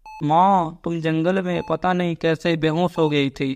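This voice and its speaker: A man's voice: on the high side, level tone, a little slow, a little mellow, a little hiss or hum. A man voicing a caring, dutiful young village son devoted to his mother in a Hindi cartoon story. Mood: concerned.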